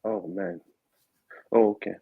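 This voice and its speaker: A man taking part in a casual live political discussion, talking in a halting, questioning way. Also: lowish voice, steady tone, vocal fry, husky, no echo, narrow, tinny audio.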